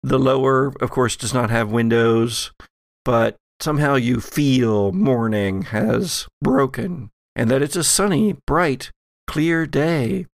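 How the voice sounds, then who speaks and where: A man running a horror tabletop game as game master, narrating scenes in a theatrical, deliberate way. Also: medium pitch, dry recording, studio quality.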